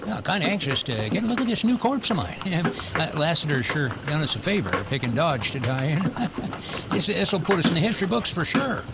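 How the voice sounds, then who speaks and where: A man's voice: a little high, very animated, fairly quick, heavy background noise. A man playing a chatty, ghoulish frontier doctor in a radio western, folksy, fussy and stammering. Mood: eager, chuckling. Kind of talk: old-time radio western acting.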